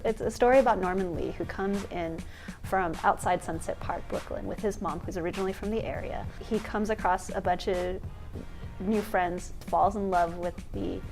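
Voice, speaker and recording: A young adult woman, brisk and earnest, talking in a documentary interview. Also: mid-range voice, steady tone, over music.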